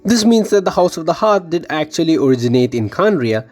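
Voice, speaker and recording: Male lore-video narrator, mid-pitched, very lively and dramatic delivery, music underneath. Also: fairly quick. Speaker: video game lore YouTuber. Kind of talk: scripted narration of game lore theory.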